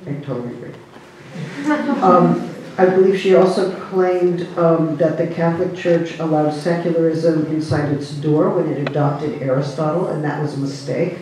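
Woman panelist, careful and measured, mellow tone, roomy far-off sound. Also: sits low, a little hiss or hum. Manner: measured, careful, many fillers. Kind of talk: summarizing another speaker's talk. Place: cinema hall panel table. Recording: echoey hall, distant mic.

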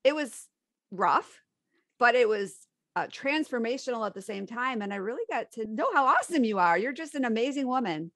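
A woman's voice: on the high side, animated, a little fast, fairly bright tone, no echo, clean studio sound. A woman hosting a podcast interview, upbeat and friendly in casual chat. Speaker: podcast host.

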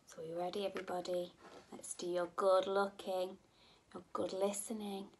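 A young woman, a nursery teacher, reading a children's story expressively and gently, as if to small children. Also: medium pitch, flat delivery, moderate pace, slight background noise, poor audio. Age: young adult. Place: indoor room at home.

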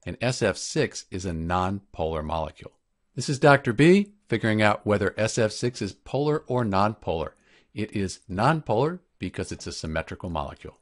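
A man's voice: on the low side, sing-song, brisk. A man narrating an explanatory science tutorial as a teacher, taking the listener through it step by step. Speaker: chemistry teacher, presenter.

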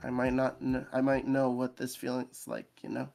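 A man playing a gentle, creaky-voiced raccoon. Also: mid-range voice, completely flat delivery, moderate pace, soft background music, lightly acted. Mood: gentle, consoling. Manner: soft-spoken, consoling, plain. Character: gentle, understanding male raccoon with old grief.

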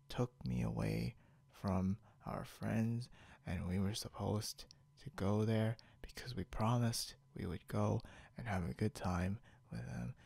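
A man acts out a tipsy, tired young man in an intimate ASMR roleplay. His voice is mid-pitched and full of heavy vocal fry. He rambles playfully, often dropping toward a whisper, right up close on a dry recording. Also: darkish tone, no echo, heavily acted. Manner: rambling, slurred-slow, giggly, whispery at times. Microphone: close microphone, dry.

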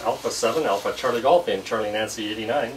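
A man's voice: fairly low pitch, fast. Middle-aged man, distant and very noisy. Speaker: amateur radio operator. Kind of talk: radio contacts and casual commentary to camera. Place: small radio shack room indoors. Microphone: camera across the room. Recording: noisy room, distant camera microphone. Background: loud hiss and radio noise.

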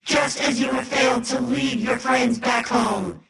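Echoing, gravelly computer villainess. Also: female voice, high-pitched, fairly bright tone, exaggerated voice. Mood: menacing. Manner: measured, imperious. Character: cold, menacing female supercomputer villain in a video game. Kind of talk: dramatic game villain acting. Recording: cavernous echo, distant, music behind.

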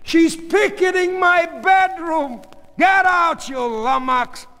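A man's voice: very high-pitched, slow pace, bright, forceful tone, in a large hall, pitched above the performer's own voice, exaggerated voice. A man reading a story, voicing an exasperated, defensive middle-aged husband who blusters and argues indignantly. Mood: indignant, bellowing.

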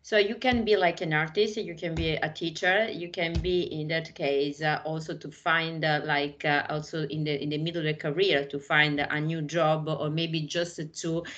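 A woman's voice: low voice, steady tone, normal speed, few pauses, fairly bright tone, highs cut off. A woman presenting an online art training course over a video call, speaking hesitantly with many fillers and restarts in English that is not her first language. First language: Italian. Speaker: webinar trainer.

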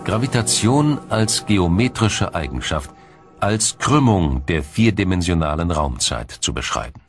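A man reading scripted documentary narration in a measured, explanatory way. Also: low-pitched, sing-song. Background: music.

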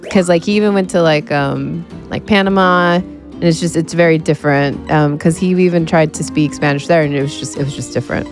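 Low-voiced woman chatting, rambling. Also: brisk, over music. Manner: rambling, heavy on "like" fillers. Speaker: speedrun commentator. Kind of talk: casual chat.

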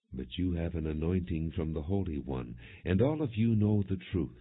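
A man narrating an audio Bible in a measured, solemn reading with deliberate phrasing. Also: deep voice, breathy edge. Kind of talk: non-dramatized Bible reading. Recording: muffled, phone-like narrow-band audio.

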